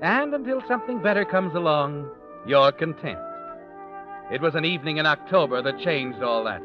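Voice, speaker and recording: Actor as sly mystery narrator over music. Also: male voice, on the high side, animated, moderate pace, lightly acted. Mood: knowing, sly. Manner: addresses the character as "you", insinuating. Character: knowing, sardonic, omniscient male mystery narrator. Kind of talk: 1940s radio drama narration. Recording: dull vintage radio, loud music behind.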